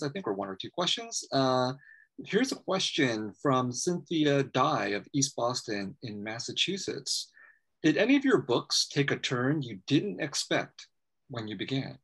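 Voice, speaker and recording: Middle-aged man, husky voice, lively intonation, reading audience questions on a video call. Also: mid-range voice, normal speed, low-quality recording. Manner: reads audience questions aloud. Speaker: moderator. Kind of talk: hosting a Q&A. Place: room with full bookshelves, indoors.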